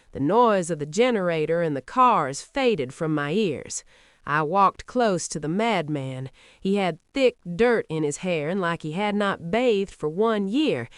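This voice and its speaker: Expressive Nigerian woman telling a story. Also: lowish voice, dry recording, clean studio sound. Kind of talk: scripted first-person folktale narration.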